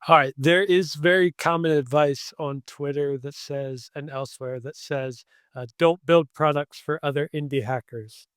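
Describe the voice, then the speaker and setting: A man's voice: on the high side, steady tone, moderate pace, a bit bright, smooth, dry recording, clean studio sound. A man hosting an interview who asks questions in a casual, conversational way. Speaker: interview host.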